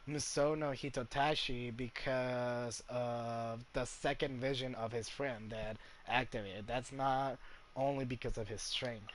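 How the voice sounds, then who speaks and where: A young man chatting casually on a game livestream in a halting, hesitant way. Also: medium pitch, fairly even intonation, noisy background. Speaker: game streamer. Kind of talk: casual livestream chat and commentary. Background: game music.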